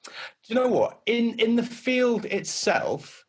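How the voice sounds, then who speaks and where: A man's voice: extremely high pitch, sing-song, slow pace. A man chatting casually as an interview guest, often repeating words as he rephrases. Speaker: interview guest, runs a creative agency.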